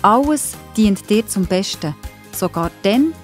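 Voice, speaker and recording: A woman with a Swiss German accent narrating a children's Bible story, expressive and speaking directly to young listeners. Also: medium pitch, measured pace. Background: music.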